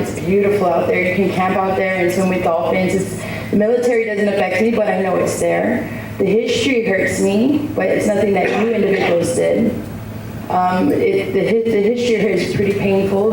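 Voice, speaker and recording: A woman giving a rambling, earnest public comment at a hearing in a hotel ballroom, with many asides. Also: mid-range voice. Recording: echoey hall picked up from far off, with background noise.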